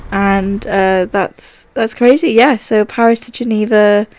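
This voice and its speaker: A young woman with a croaky sore throat chatting casually and upbeat while playing a driving game, with faint vehicle sounds behind her. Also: medium pitch, steady tone, measured pace, darkish tone. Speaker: gaming channel host. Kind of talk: casual gameplay commentary.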